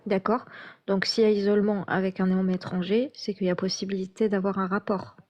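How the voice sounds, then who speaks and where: A woman's voice: medium pitch, little pitch movement, a little fast, a little mellow. A woman taking part in a live debate, explaining terms patiently step by step.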